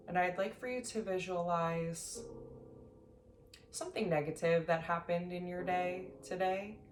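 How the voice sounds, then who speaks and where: A woman leading a guided sleep meditation as an instructor, speaking gently in slow, measured phrases with long pauses. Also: fairly low pitch, slight background noise. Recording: roomy echo, faint music behind.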